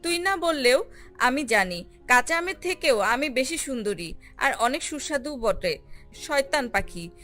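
A woman voicing a vain, haughty, quick-tempered female ripe mango in a children's cartoon, boasting and scolding. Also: high-pitched, lively intonation, bright, forceful tone, heavily acted. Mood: furious. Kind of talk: animated children's fairy tale acting. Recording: music under the voice.

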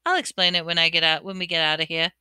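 A woman voicing a young schoolboy wizard who speaks with steady, reassuring urgency. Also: lowish voice, fast, clean tone, no room sound, clean studio sound. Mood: urgent, steady.

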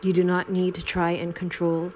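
A woman guiding a sleep meditation in a slow, soothing, unhurried way with long pauses. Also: on the low side, monotone, a little mellow, a little hiss or hum. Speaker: meditation guide. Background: Tibetan singing bowl tones.

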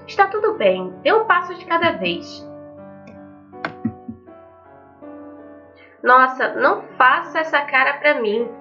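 A woman's voice: high voice, sing-song, normal speed, dull audio, faint music behind, voice pushed up. A woman voicing a sweet, cheerful, wistful schoolgirl character from an anime visual novel. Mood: cheerful, caring.

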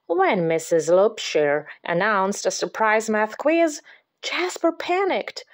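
A mother reads a spooky picture book aloud at an unhurried pace. Her pitch rises and falls with lively expression. Now and then she slips into a theatrical whisper. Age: adult. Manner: dramatic, drops to whispers for creepy moments. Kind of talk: expressive read-aloud storytelling.

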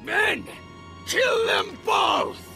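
An actor playing an aggressive, short-tempered gang thug in a video game, taunting and menacing. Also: male voice, very high voice, animated, drawn-out words, gravelly, raised voice, over music, exaggerated voice. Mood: angry, menacing. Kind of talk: video game dialogue acting.

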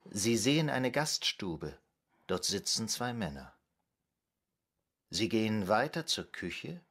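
An actor playing a calm psychoanalyst who guides a patient into trance with measured, soothing commands, in an audio drama. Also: male voice, fairly low pitch, heavily acted. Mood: calm, hypnotic. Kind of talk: slow hypnotic guided induction in an audio drama.

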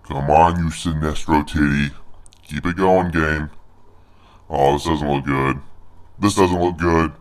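A man plays a huge, snarky monster commenting on a video game. The voice is extremely low and growly. The delivery drags along slowly, crude and full of exclamations. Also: fairly even intonation. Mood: grumbling. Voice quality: deep growly put-on voice.

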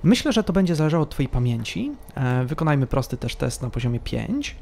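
A man narrating a tabletop role-playing game as game master, telling the scene slowly and atmospherically. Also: mid-range voice, very animated, gravelly, a little mellow, slight background noise.

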